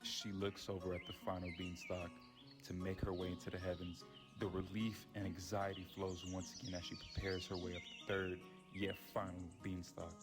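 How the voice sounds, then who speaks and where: A man's voice: sits low, little pitch movement, normal speed. A man reading a scripted fairy tale as a storyteller. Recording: music bed under the voice.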